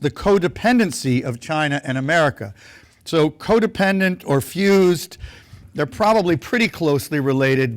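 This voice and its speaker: A man introducing two panel guests, brief and slightly hesitant. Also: on the high side. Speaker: event host introducing a panel.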